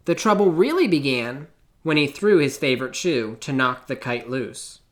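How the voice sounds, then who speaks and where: A man reads a children's storybook aloud in a theatrical style. His mid-pitched voice moves widely up and down, and he leaves deliberate pauses between phrases for effect. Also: animated. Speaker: picture book reader.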